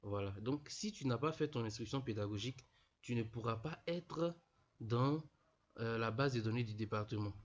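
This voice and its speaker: A man's voice: mid-range voice, normal speed. A young man with a cold, walking students through an online registration step by step, repeating himself often. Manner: repetitive, peppered with "voilà", addresses students informally. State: stuffy from a cold. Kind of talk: casual explanatory screen tutorial. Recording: dull lo-fi voice-over.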